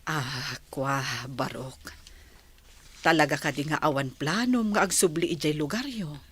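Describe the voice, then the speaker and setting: Radio drama caring older mother, very low and raspy, hesitant, gentle. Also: measured pace, exaggerated voice.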